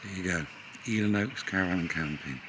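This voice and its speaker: A man in his fifties with a very low voice gives a relaxed, chatty walk-around of a campsite, with plenty of "uh"s as he goes. He films himself outdoors at arm's length on a handheld camera. Birdsong and rural outdoor noise come through clearly behind him. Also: a little fast. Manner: relaxed, chatty, with frequent fillers. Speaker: vlogger touring a campsite. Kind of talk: casual walk-around commentary. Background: birdsong and rural outdoor ambience.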